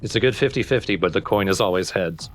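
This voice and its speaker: A man's voice: deep voice, fast talker, faint music behind. A man co-commentating on a game with wry, joking casual banter. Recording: faint background noise. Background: game sound.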